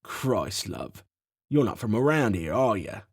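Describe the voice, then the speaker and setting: A gruff male pub boss, coarse and mocking, as a male reader puts him on. The voice stays low and plain, close to the reader's own. The recording is dry and close to the mic. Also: very animated, measured pace, no room sound, exaggerated voice. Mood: scornful. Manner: coarse, scornful.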